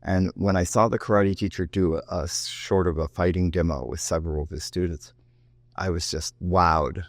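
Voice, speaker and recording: A low-voiced man in his fifties talks through his answers in a loose, easygoing way. He circles back and restarts his sentences as he goes. The recording is close and dry, with no room sound. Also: fairly even intonation, normal speed, dry recording. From United States, raised in Japan. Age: 50s. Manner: rambling with frequent restarts and self-interrupting asides. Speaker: martial arts teacher and guest. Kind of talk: relaxed interview talk.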